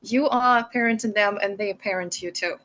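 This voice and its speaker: A woman from Russia with a Russian accent, a mother telling her story as a guest on an interview show, softly and haltingly, with frequent laughs. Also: medium pitch.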